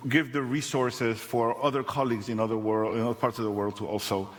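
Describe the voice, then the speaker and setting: Halting man in a reverberant hall. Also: mid-range voice, level tone. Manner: halting, repeating words as he restarts. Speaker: conference panelist. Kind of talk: spoken conference answer. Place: large conference hall.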